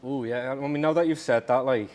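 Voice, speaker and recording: A man's voice: medium pitch, a little fast, a little mellow. A young British man from the UK, a singer-songwriter guesting on a podcast, chatting casually and often trailing off. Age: young adult.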